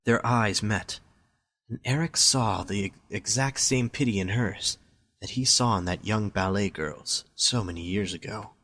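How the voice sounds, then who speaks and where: A man's voice: lowish voice, a little slow, a bit bright. A man reading scripted story narration as the narrator of an amateur audio drama.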